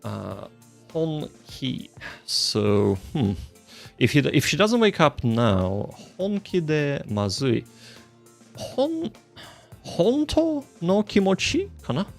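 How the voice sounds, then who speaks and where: A man's voice: a little high, huge pitch swings, slow pace, creaky, soft background music. A man doing casual live study-stream commentary, thinking aloud and translating hesitantly. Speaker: streamer, language learner.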